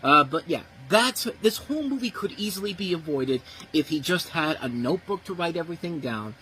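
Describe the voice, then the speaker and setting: A man's voice: on the high side, expressive, brisk, sharp tone, poor audio. A man giving a blunt, profane, exasperated movie review, ranting about what went wrong. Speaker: film reviewer.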